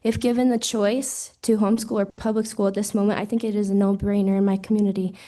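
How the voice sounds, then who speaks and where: A woman's voice: medium pitch, flat delivery, fast, warm tone. A woman who has lost her voice, reading earnest, proud public testimony as a parent at a hearing, into a desk microphone. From Wyoming. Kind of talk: prepared public comment.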